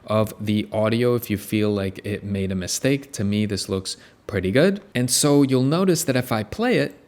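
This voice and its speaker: A man hosting a software tutorial, explaining each step in an upbeat way. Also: fairly low pitch, animated, moderate pace. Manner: explanatory, upbeat, walks through steps. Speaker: tutorial host. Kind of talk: screen-recorded software tutorial.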